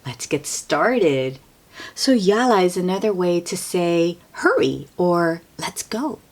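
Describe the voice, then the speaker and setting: Woman, children's read-aloud host, lowish voice, slow pace, expressive, child-directed. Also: slightly rough. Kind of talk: animated storybook reading with asides to children.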